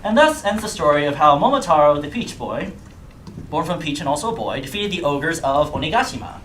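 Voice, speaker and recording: Animated male storyteller, wide pitch, echoey room. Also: a little fast, far from the mic, a little hiss or hum. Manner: theatrical, joking. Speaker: puppet show storyteller. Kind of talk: animated comic storytelling to an audience. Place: indoor live puppet show. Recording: echoey room, music behind.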